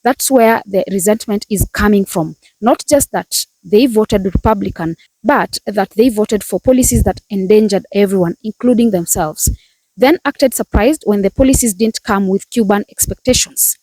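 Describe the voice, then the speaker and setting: A woman reading a scripted commentary in a measured, polished way. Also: medium pitch, warm tone, no room sound, poor audio. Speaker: commentary narrator. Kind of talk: scripted narration.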